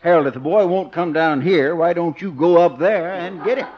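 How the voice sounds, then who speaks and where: An actor playing a folksy, slow-witted small-town marshal in a 1950s radio sitcom. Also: male voice, a little high, close to an everyday voice. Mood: amiable, befuddled. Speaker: supporting actor in a radio comedy. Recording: noisy muffled old radio recording.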